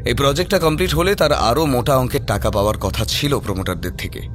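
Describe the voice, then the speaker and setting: A man's voice: mid-range voice, fast talker. Male horror story narrator over faint music.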